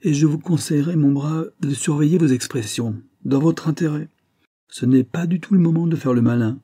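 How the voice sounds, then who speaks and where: A man's voice: on the high side, moderate pace, warm tone, slightly rough, dry recording, voice pushed up. A man voicing a curt, self-important police secretary in an audiobook story, dry and commanding. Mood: stern warning.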